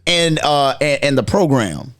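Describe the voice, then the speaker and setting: A man's voice: medium pitch, lively intonation, a little slow, a bit bright. A middle-aged man chatting emphatically and in slang as a panelist in a casual group podcast. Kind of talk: lively casual group chat.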